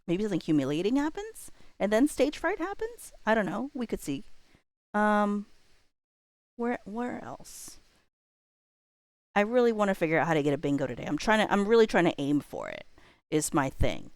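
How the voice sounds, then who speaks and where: A young woman chatting casually on a livestream, thinking out loud and rambling as she weighs her options. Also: medium pitch, huge pitch swings, normal speed, pauses often, no echo.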